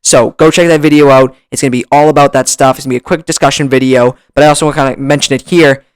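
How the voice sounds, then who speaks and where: A man hosting a casual vlog, talking excitedly with lots of restarts, his voice slightly hoarse from long recording, close on a desk mic. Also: medium pitch, very fast, no echo. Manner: excitable, rambling with many restarts. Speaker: YouTuber. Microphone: desk mic, bumped at times.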